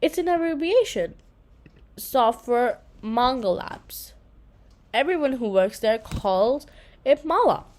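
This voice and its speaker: A woman's voice: fairly high pitch, animated, slow, a little mellow. A woman voicing a confident, bossy eight-year-old girl in a children's storybook, with an insistent, matter-of-fact manner. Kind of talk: children's storybook read-aloud.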